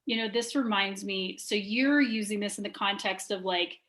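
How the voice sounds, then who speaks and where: A woman, a guest on a business interview, talking quickly and openly and filling her speech with "like". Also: medium pitch. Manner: rapid and animated, lots of "like" fillers. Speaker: guest, sales trainer and business owner. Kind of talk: candid conversational interview.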